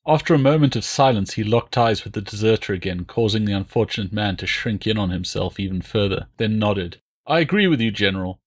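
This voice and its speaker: A man's voice: fairly low pitch, fairly quick, hardly any pauses. Man narrating a historical audiobook. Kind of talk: scripted novel narration.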